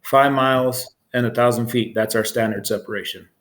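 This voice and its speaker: A man's voice: medium pitch, steady tone, moderate pace, fairly bright tone. Plain-spoken American man in his forties. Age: 40s. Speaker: air traffic control supervisor. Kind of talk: informal interview explanation of own job.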